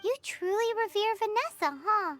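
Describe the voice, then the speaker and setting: Tiny squeaky fairy sidekick. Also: female voice, expressive, normal speed, a bit bright. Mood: curious. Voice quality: squeaky, childlike. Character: tiny excitable childlike fairy companion, female. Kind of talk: video game voice acting. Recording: music behind the voice. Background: game music.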